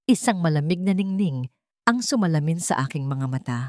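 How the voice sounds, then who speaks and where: A woman narrates a romantic drama in a low voice. The delivery is scripted first-person storytelling, and the sound is dry and close, with very little room in it. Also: animated, a little fast, a little mellow, no room sound.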